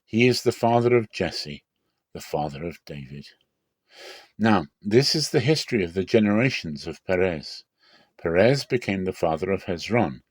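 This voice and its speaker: A man narrates an old scriptural story in a dramatic reading style. His voice sits on the low side, and the sound is close and dry, with little room around it. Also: no echo. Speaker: Bible reader, narrator.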